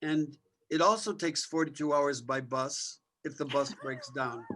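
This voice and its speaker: Genial priest co-host. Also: male voice, medium pitch, a little slow, a bit bright. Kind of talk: casual interview.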